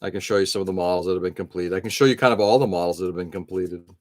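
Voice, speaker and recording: A man's voice: deep voice, steady tone, racing, no echo, studio quality. A middle-aged man hosting an early-morning live stream, rambling casually and answering viewers' chat comments.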